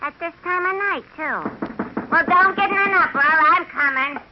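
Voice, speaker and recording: A woman's voice: extremely high pitch, moderate pace. A woman playing a folksy, frowzy older American woman in an old radio drama, with drawling, ungrammatical speech. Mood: chatty, homely. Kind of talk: 1940s radio acting. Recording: noisy, muffled old radio recording.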